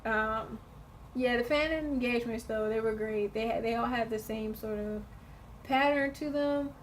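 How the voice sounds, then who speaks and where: A chatty woman talks through a casual recap vlog, her voice a bit hoarse and worn from screaming. She sits on the higher side of the usual range and rambles along with lots of fillers and restarts, with a faint hum of the room behind her. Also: moderate pace, rough recording. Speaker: vlogger.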